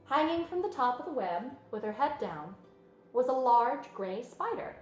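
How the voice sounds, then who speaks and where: A woman reading a children's story aloud as an expressive narrator. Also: high voice, normal speed, roomy sound, soft background music. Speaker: storybook reader.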